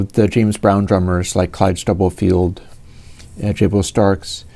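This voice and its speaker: A man's voice: mid-range voice, mellow. Rambling man in his fifties. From United States. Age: 50s. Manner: rambling, digressive, with many fillers and restarts. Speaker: host answering viewer questions. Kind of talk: casual rambling livestream Q&A.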